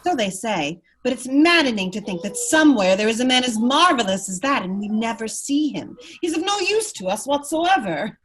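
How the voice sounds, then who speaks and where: A woman playing an elegant, restless society wife with a husky voice, polite and expressive in a drama reading. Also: a little high, moderate pace, few pauses. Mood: wistful, exasperated. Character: elegant, restless lady, neglected wife of a gentleman.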